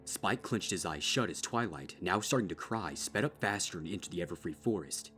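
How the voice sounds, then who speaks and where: A man reading a dark horror story as a dramatic scripted narration. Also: low-pitched, animated, fairly quick. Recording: music behind.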